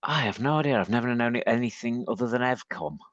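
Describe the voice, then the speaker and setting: A man from northern England with a deep husky voice, hoarse from shouting, talking as a guest on a podcast with many restarts. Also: animated, quick pace, dry recording, muffled recording. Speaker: podcast guest, agency head. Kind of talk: casual panel chat.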